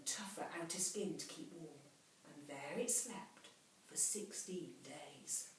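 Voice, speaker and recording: Female storybook reader for children, lively swooping pitch, dramatic hushed endings, very echoey room. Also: far from the mic. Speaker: teacher reading a story to children. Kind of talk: expressive children's storybook narration. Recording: very echoey room, off mic, faint hiss.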